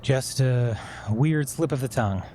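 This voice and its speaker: An actor playing a cautious, anxious amateur hacker in a naturalistic podcast drama, hesitant and defensive. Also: male voice, medium pitch, measured pace, warm tone, a little hiss or hum, natural voice. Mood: flustered. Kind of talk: naturalistic fiction podcast acting.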